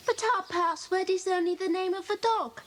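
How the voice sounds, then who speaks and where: A woman's voice: very high-pitched, fairly even intonation, normal speed. A woman playing an inquisitive young schoolgirl in a children's audio drama. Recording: faintly noisy 1980s cassette.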